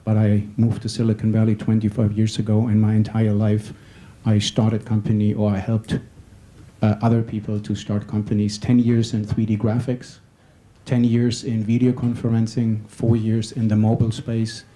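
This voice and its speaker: A man introducing himself as a panelist at a conference. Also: moderate pace. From Germany. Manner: steady, businesslike pitch. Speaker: panelist, startup executive.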